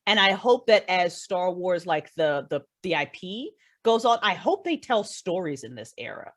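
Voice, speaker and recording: A woman guest, a writer, talking casually on a podcast in an animated, opinionated, sweary way. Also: fairly low pitch, normal speed. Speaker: guest, writer and creator of an audio drama.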